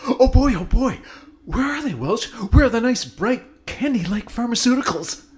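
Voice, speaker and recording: A man's voice: very high-pitched, big pitch swings, normal speed. A man doing a whiny greedy spaceship crewman. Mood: greedy, giddy. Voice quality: whiny, swings into giddy squeals. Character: greedy whiny male crewman, excitable and scheming. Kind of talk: comedy audio drama.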